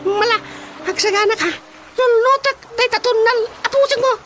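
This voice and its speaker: A woman's voice: very high-pitched, normal speed, very noisy. A woman with an Ilocano accent playing a mocking, teasing female tormentor who giggles constantly in a radio drama comedy. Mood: mocking, gleeful. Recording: music behind the voice.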